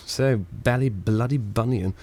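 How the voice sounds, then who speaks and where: A man's voice: mid-range voice, measured pace, dark tone, faint music behind, close to an everyday voice. Wry wireless engineer, acted by a man. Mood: wry, amused. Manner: wry, rambling, exasperated, theatrically flippant. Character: witty, sardonic, reluctant male wireless engineer of the 1920s. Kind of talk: radio play acting.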